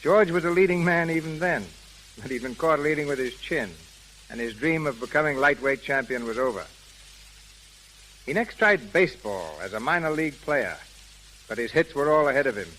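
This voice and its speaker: A man hosting a radio theatre, reading scripted narration in a formal, measured 1930s showman style. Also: a little high, expressive, a bit bright. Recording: crackly, hissy 1930s radio recording.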